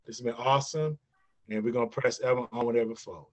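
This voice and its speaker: A man's voice: mid-range voice, fast. A man speaking earnestly on an online panel, repeating words as he urges his movement toward unity. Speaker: veteran movement leader, panelist.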